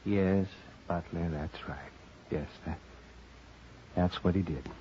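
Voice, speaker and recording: An actor playing a principled, weary frontier doctor in a radio western, American, halting and emotive. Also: male voice, low voice, fairly even intonation. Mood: evasive, halting. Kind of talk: 1950s radio western acting. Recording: muffled old radio recording, faint noise.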